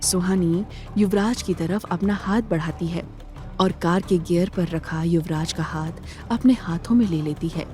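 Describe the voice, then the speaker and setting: A woman narrator with a lowish, mellow voice tells a dramatic audio story. Her delivery is measured and scripted, with soft music underneath.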